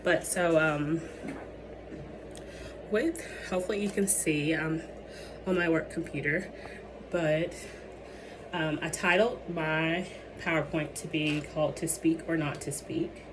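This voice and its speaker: Low, hoarse young woman presenting. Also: steady tone, takes it slowly, pauses often. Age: young adult. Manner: hesitant, many ums and restarts. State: losing her voice. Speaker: student presenting a capstone project. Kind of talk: presentation with many fillers. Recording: noisy background ambience.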